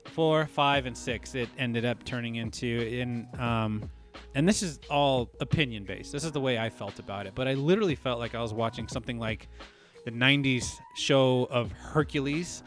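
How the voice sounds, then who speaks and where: A man co-hosts a casual podcast, rambling and restarting his sentences. His voice swings up when he gets excited. Faint music sits under him. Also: mid-range voice, moderate pace. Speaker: podcast co-host. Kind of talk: casual chat.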